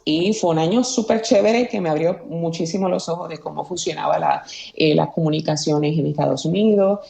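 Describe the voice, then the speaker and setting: A woman's voice: deep voice, a little fast, gravelly, mellow. A Puerto Rican woman in her thirties with a Puerto Rican accent, a journalist guest, speaking hesitantly with many fillers and restarts over a remote call. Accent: Puerto Rican Spanish. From Aguada, Puerto Rico. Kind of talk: casual interview chat.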